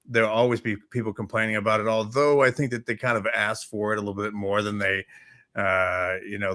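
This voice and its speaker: Bright, forceful man chatting casually. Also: on the low side. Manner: hesitant, rambling delivery with repeated words. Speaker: talk show host. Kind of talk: casual chat.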